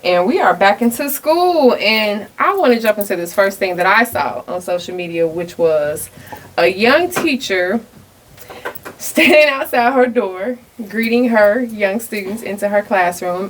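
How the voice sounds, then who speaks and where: A woman co-hosting a casual podcast, blunt and animated, with a high-pitched voice that often breaks into laughs. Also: moderate pace, low-quality recording. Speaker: podcast co-host. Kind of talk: casual chat.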